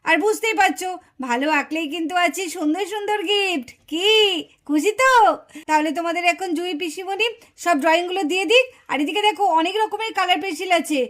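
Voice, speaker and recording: Woman voicing a very high-pitched, chatty auntie doll. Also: a bit bright. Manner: exclaiming, instructing, chatty. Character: cheerful auntie doll hosting a children's drawing contest, bossy but kind. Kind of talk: puppet doll story for children.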